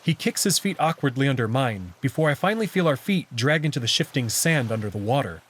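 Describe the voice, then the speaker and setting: A man reads a visual novel aloud in first person. His voice is mid-pitched and husky, and his narration is expressive, with lively movement in pitch. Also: brisk.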